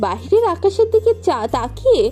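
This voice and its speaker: Lively, mellow woman narrating a story. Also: very high voice, brisk, faint music behind. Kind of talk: scripted romantic story narration.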